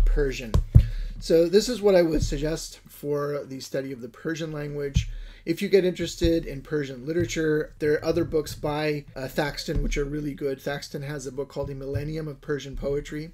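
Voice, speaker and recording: A man's voice: fairly high pitch, noisy background. A middle-aged man whose first language is Urdu, talking casually to camera in a book-lined home study and rambling a little, with plenty of fillers and restarts. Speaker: Islamic studies scholar giving a reading list. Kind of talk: casual recorded lesson to camera.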